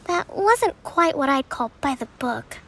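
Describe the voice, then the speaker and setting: A calm, high-voiced strategist. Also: female voice, background noise, heavily acted. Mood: thoughtful. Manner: measured, composed, analytical. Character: calm young female military strategist and priestess. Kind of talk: video game dialogue. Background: game music.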